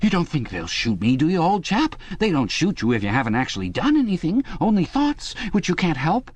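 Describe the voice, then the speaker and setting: Male reader voicing a scared, fawning middle-aged man, high and pleading, dry recording. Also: wildly swooping pitch, fairly quick, no room sound. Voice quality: high, pleading, nearly blubbering. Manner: fawning, jittery, self-justifying. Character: frightened pudgy middle-aged man, servile and eager.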